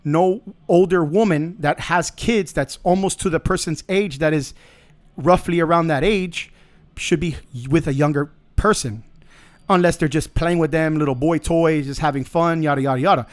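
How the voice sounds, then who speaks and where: A man's voice: fairly high pitch, moderate pace. A middle-aged man hosting a podcast, talking through a casual monologue in a blunt, profane and joking way. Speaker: podcast host.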